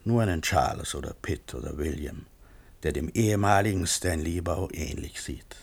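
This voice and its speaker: An actor playing a controlling man in a 1960s radio drama, speaking with a gravelly, husky voice. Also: extremely low pitch, moderate pace, heavily acted. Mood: quiet, insistent. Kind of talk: 1960s German radio play acting.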